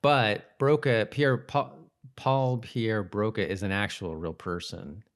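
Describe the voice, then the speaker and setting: Male co-host, slightly deep voice, casual and halting conversational delivery. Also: steady tone, smooth. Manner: informal, halting. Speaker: podcast co-host. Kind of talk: casual co-hosted chat.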